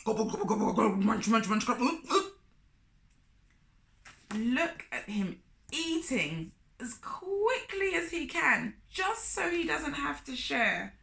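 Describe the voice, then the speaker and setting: Children's storyteller: woman, high and very animated, unhurried, asking questions and commenting on the characters, echoey room. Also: creaky. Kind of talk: expressive story reading with asides to young listeners.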